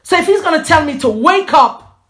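A woman's voice: high-pitched, lively intonation, brisk, a bit bright. A woman in her forties giving a fervent, chatty inspirational faith talk to her viewers, with a preachy edge and frequent restarts. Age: 40s.

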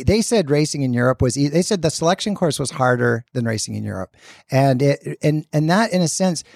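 Gravelly-voiced man telling a story. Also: medium pitch, a little mellow, no echo, studio quality. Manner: earnest, thoughtful, many restarts. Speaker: sports scientist guest. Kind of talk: conversational storytelling.

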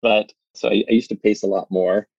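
A man's voice: lowish voice, steady tone, fairly quick, gravelly, a little mellow, dry recording, muffled recording. A man in his thirties, a startup founder, talking in a rambling, casual way with lots of "like" fillers, recorded in a small phone booth. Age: 30s. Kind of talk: casual interview chat.

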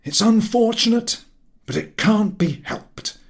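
A man's voice: sits high, animated, slow, vocal fry, voice pushed up, heavily acted. A man voicing a stubborn, genial old working-class yacht captain in a storybook, with deferential, folksy rustic speech. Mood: resigned. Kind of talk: storybook character voice.

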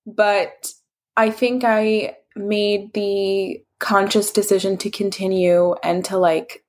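A woman with a mid-range voice speaks as an interview guest. She talks in a candid, thoughtful way, often pausing and stringing thoughts together with "like" as she explains herself. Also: mid-range voice, little pitch movement. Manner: reflective and candid, heavy use of "like". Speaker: interview guest, social media creator. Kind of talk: casual interview chat.

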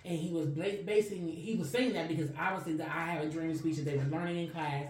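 A woman's voice: deep voice, fast talker, a little mellow. A woman co-hosting a podcast, talking earnestly and reflectively in long winding sentences. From Chicago. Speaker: podcast co-host. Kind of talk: casual podcast conversation. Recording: roomy and distant from the mic, faint noise.

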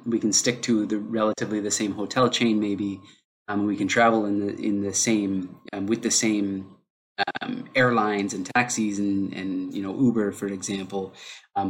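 A man's voice: on the low side, a bit bright, a little hiss or hum. A man hosting a solo podcast, talking it through unscripted, with lots of filler sounds and restarts. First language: English. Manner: unscripted, heavy use of fillers like um; thinking aloud, restarts mid-sentence. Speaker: podcast host.

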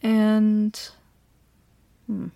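Woman voicing a bossy female CEO, teasing and confident, slow unhurried talk, a little vocal fry. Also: medium pitch, level tone, dark tone, dry recording. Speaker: ASMR roleplay performer. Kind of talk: flirty ASMR roleplay.

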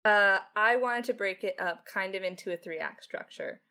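A woman's voice: mid-range voice, moderate pace, sharp tone, clean tone. A young woman speaking as a writer in a casual podcast chat, informal and jokey in manner. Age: young adult. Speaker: lead writer and voice actor answering questions.

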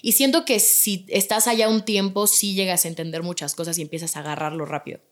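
A young woman with Italian as her first language, chatting fast as an interview guest and filling her talk with repeated yeses and fillers. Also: on the low side, fairly even intonation. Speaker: podcast guest. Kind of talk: casual interview chat.

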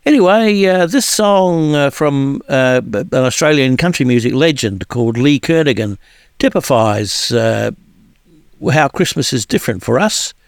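A man's voice: medium pitch, expressive, no echo, clean studio sound. A man hosting a radio music countdown, chatting casually with lots of fillers and restarts. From New Zealand. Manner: rambling, halting with many fillers and restarts.

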